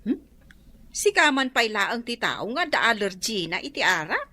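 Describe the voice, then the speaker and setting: A woman's voice: fairly high pitch, very animated, moderate pace, sharp tone, full character voice. A woman playing a nosy, scheming and pushy neighbour in an Ilocano radio drama, with an Ilocano accent. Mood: coaxing, pushy.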